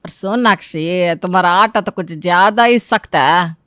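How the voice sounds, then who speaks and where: A woman's voice: fairly low pitch, measured pace, a bit bright, clean tone, no room sound, studio quality. A woman voicing a bossy, dramatic South Indian mother-in-law in a Hindi cartoon story, exclamatory and scolding, with a South Indian accent. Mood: fussy instructing. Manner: exclamatory, scolding, ends phrases with a tag.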